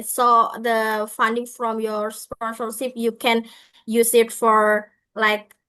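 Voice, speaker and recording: A woman explaining things informally as an organizer in an online session, in halting non-native English. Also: medium pitch, fairly bright tone, clear, resonant tone. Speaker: organizer answering questions.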